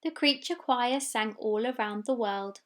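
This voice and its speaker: A woman's voice: fairly high pitch, unhurried. A woman reading a children's storybook expressively, with dramatic delivery of sound words. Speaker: story reader.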